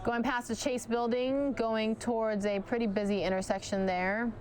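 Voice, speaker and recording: Chatty woman anchor, voice going hoarse. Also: on the high side, fairly even intonation, moderate pace, darkish tone, a little hiss or hum. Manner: chatty, addresses viewers directly. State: losing her voice. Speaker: news anchor. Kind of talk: live chase commentary.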